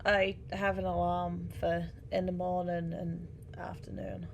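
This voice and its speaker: A woman's voice: lowish voice, little pitch movement, unhurried, creaky, a little hiss or hum. A woman live streaming a game, chatting casually with viewers and reacting to what happens.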